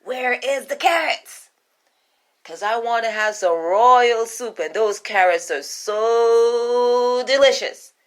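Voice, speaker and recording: A woman voicing an impatient, demanding queen in a storybook tale, speaking in a haughty, drawn-out way. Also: a little high, pitched above the performer's own voice.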